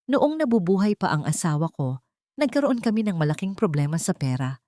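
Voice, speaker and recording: An elderly mother-in-law, as a female narrator reads her, earnest, worried and full of regret. She confides seriously in a slightly low, expressive storybook voice with wide pitch movement, recorded dry. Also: brisk, no echo. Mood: remorseful confession.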